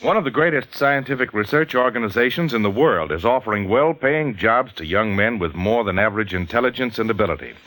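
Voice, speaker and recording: A man's voice: on the low side, expressive, brisk, few pauses, fairly bright tone. An American man reading a 1940s radio advertisement in an earnest, formal, persuasive way. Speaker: commercial announcer. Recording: vintage 1940s radio broadcast.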